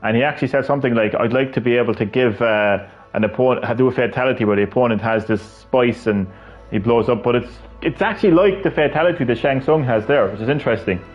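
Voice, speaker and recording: Male streamer, loose rambling game talk, even mid voice, faint music underneath. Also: medium pitch, quick pace, dull audio. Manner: rambling, many fillers and restarts. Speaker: gaming streamer. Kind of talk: casual gameplay commentary.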